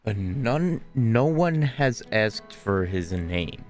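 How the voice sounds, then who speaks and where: Brief: a man commenting casually on a game, dry and offhand, slow and low. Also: big pitch swings, a little mellow, faint music behind. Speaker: game player. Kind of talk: casual play-along commentary.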